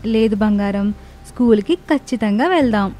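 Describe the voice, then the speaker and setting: A woman's voice: medium pitch, normal speed, warm tone, natural voice. A woman voicing a caring but strict young mother in a dramatized family story. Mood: soothing, firm.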